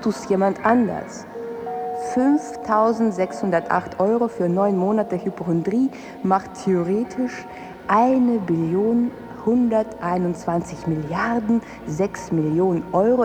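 A woman's voice: medium pitch, lightly acted. An actress playing a slick, cynical, calculating woman in a radio drama. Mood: slick, persuasive. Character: cynical, calculating woman pitching profit from hypochondria.

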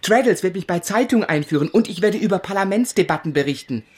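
An actor playing an earnest, ardent young gentleman who also narrates a radio play, in a literate, romantic manner. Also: high-pitched, expressive, fast talker, husky, no room sound. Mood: pleading, ardent.

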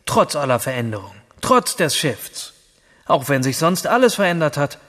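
An actor playing a detached commentator on a virtual post-human society in a radio drama, with a wry, lecturing manner. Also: male voice, a little high, big pitch swings, normal speed, fairly bright tone. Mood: cynical, detached. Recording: music under the voice.

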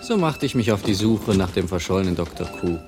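An actor playing a fussy, eager first-person narrator in an experimental radio play, telling his tale deliberately and theatrically. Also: sits low, sing-song. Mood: urgent, theatrical. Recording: 1970s radio drama with music. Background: music.

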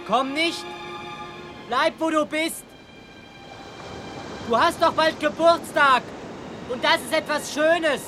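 A solemn, troubled youth in a German radio play. He declaims slowly and intensely in a raised, high voice. Music swells behind him. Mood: warning, urgent. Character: Jonathan, solemn, troubled youth drawn to the sea. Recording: music under the voice.

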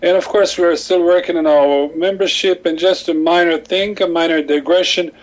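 Older male guest, slightly high pitch, measured and self-correcting. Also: steady tone, poor audio. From Croatia. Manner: measured, pausing to rephrase. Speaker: interview guest.

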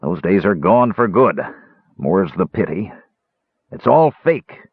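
Old fantasy dwarf, male narrator performing: raspy, cantankerous, scornful, folksy, animated delivery on a thin radio-like recording. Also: low voice, slow, dry recording, narrow, tinny audio, exaggerated voice. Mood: bitter scorn. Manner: scornful, snappish, folksy grammar.

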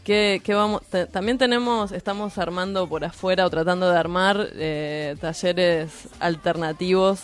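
A woman with a Rioplatense Argentine accent, giving a news column on radio in an informal, halting, chatty way. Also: on the low side, soft background music. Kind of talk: casual news column chat.

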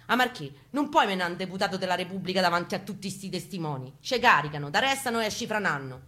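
A woman's voice: fairly low pitch, animated, fast, pushed and bright. A woman voicing a streetwise, protective comrade with a Roman accent, blunt and urgent, in a spoken-word reading. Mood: urgent warning. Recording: live guitar under the voice.

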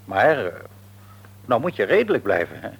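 A man's voice: lowish voice, huge pitch swings, normal speed, creaky, breathy edge, full character voice. An actor playing a shrewd, patronising middle-aged father and businessman in a Dutch radio play, wordy and probing, with a Netherlands accent. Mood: patronising, insistent. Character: shrewd, patronising businessman father, outwardly jovial, secretly domineering. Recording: radio drama recording.

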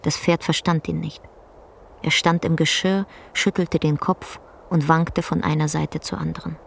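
An actress narrating a literary radio play in a measured, solemn way. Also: female voice, low voice, flat delivery, vocal fry, slight background noise. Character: solemn storyteller of an old man and horse.

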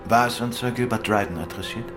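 An actor playing a composed, dryly persistent gentleman detective in a radio crime drama, measured and pointed in his questioning. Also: mid-range voice, over music, lightly acted. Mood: dry, controlled.

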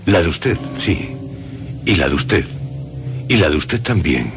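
Male radio host, deep register; dramatic scripted storytelling with measured pauses over clear music. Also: very animated. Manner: deliberate, theatrical phrasing with suspenseful pauses. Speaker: radio show host and narrator.

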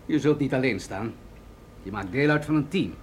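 An actor playing an authoritative, insistent military general in a radio drama, with a measured, persuasive delivery. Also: male voice, medium pitch, animated, a little hiss or hum, natural voice. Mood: firm. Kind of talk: Dutch radio drama acting.

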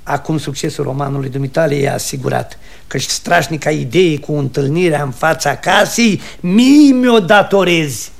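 A high, affected voice plays a vain, gullible suitor in a comic radio play. He talks in florid, flowery turns, gleeful and thoroughly pleased with himself. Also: creaky. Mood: smug, gleeful. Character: foppish, gullible, self-satisfied suitor spouting flowery verse. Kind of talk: comic radio theatre acting.

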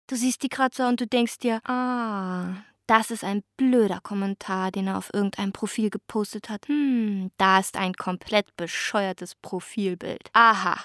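A woman's voice: medium pitch, fairly even intonation, a little slow, a bit bright, no echo, clean studio sound, lightly acted. An actress playing a wry young woman in a radio drama, confiding chattily and mimicking her own thoughts. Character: wry young woman longing for the city she left.

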